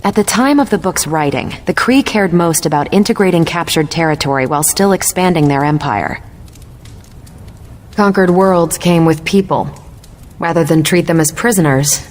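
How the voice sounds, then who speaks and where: A woman's voice: low voice, moderate pace, a little mellow, a little hiss or hum, natural voice. An actress playing a confident military superhero woman in a video game, explaining things in an assured, lightly teasing way. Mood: instructive. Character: confident adult superhero woman, sharp patient military mentor.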